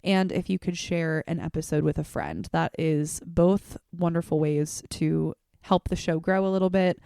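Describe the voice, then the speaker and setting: A woman's voice: deep voice, fairly even intonation, moderate pace, creaky, dark tone, clear, resonant tone, dry recording, studio quality. A young adult woman hosting a solo podcast, chatting earnestly and welcomingly with casual fillers, her voice a little congested from a cold. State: slightly congested from a cold or allergies. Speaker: podcast host.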